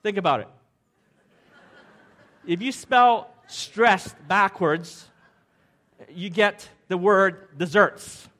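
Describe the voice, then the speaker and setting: A middle-aged pastor from Hong Kong, preaching in a light, jokey way. His voice sits a bit high for a man, and he keeps asking the room questions before each point. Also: expressive, extremely slow, pauses often, a bit bright. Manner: jokey, upbeat, asks the crowd questions. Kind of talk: sermon with audience interaction.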